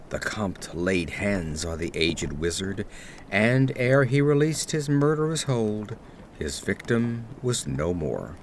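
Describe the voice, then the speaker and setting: A man narrating a gothic horror tale from a script in a measured, solemn storyteller's manner. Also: fairly low pitch, a little hiss or hum. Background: atmospheric ambience.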